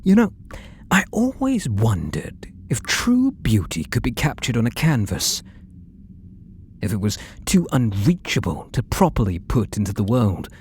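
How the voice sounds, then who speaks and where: Man acting a slow, obsessive British artist lover. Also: fairly low pitch, wildly swooping pitch, slight background noise, full character voice. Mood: adoring, intimate. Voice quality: soft and breathy, often dropping to whispers. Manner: tender, slow, menacingly intimate. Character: obsessive, possessive lovestruck male artist. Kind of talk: ASMR roleplay acting.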